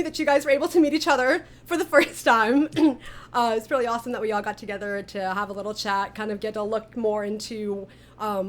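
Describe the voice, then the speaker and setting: A woman's voice: medium pitch, slight background noise. A woman hosting a casual interview as an audio drama director, informal, appreciative and encouraging toward her guests.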